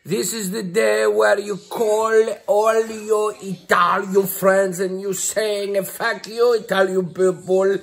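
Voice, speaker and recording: A man's voice: high-pitched, flat delivery, slow, creaky, few pauses, exaggerated voice. A man playing a brash, crude Greek man who rants in broken English with a Greek accent. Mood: ranting. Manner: broken English, loud ranting bursts, crude. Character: brash crude middle-aged Greek man, proud and boastful. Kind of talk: comic character monologue.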